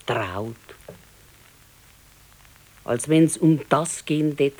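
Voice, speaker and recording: An actress playing a practical, modest old wife with a Bavarian accent, admonishing and matter-of-fact in a radio play. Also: female voice, deep voice, lively intonation, drawn-out words, dark tone, exaggerated voice, poor audio. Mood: firm, resigned. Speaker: radio drama actor. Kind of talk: 1970s naturalistic dialect radio play.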